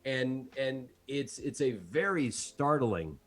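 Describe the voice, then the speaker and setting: A man voice actor sharing stories in a relaxed chat, his tone bright and forward, his pitch lively and moving a lot. He paints scenes with vivid detail. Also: slow, a little hiss or hum. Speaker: voice actor guest. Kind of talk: casual video-call chat.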